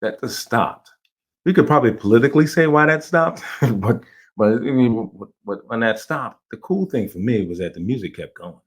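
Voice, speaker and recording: A man's voice: mid-range voice, lively intonation, normal speed. A middle-aged man from Jamaica, raised in New York, telling stories as a guest in a casual interview, enthusiastic and rambling. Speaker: playwright, guest.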